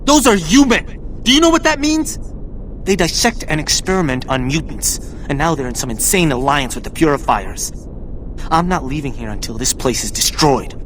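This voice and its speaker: An actor playing a haughty, vengeful, impatient mutant militant in a video game. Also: male voice, big pitch swings, moderate pace, a bit bright, low-quality recording. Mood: impatient, righteous. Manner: haughty, scornful, emphatic. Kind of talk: video game cutscene acting. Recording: rumbling vehicle noise behind.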